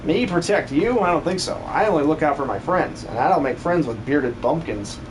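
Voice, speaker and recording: A man puts on a young fighter from a fantasy game. He is surly, blunt and gruff. The recording has clear noise behind it. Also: fairly high pitch, lively intonation, normal speed, natural voice. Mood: scornful. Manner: blunt, dismissive.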